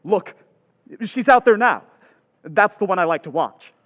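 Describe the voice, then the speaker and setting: A man playing a restless, excitable teenage boy in an old-time radio play, boyish and full of exclamation. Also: a little high, moderate pace, lightly acted. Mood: excited. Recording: echoey hall, dull sound.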